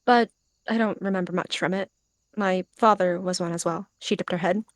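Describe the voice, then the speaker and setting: A woman's voice: lowish voice, steady tone, moderate pace, dry recording, clean studio sound. A woman narrating her own character's part in an improvised roleplay story.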